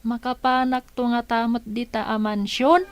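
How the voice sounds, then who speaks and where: A woman's voice: on the high side, flat delivery, moderate pace, no echo, lightly acted. A Filipino woman playing a curious, naive child in a radio drama. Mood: curious, amazed.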